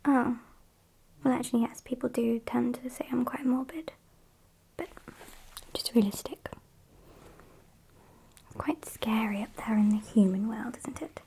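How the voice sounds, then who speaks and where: A British woman playing a sassy, morbid gothic vampire kidnapper in a whispered ASMR roleplay, soft, unhurried and deadpan. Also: fairly high pitch, full character voice. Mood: dryly morbid. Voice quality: breathy whisper.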